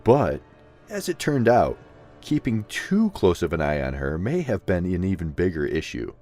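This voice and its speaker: A man's voice: sits low, sing-song, moderate pace, a little mellow, faint music behind. A man narrating a scripted fantasy audio drama in a dramatic storytelling style.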